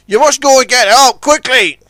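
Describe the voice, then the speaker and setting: A man's voice: extremely high pitch, normal speed, sharp tone, no room sound, voice pushed up. A man voicing a big, wise, worried male tugboat, urgent and commanding.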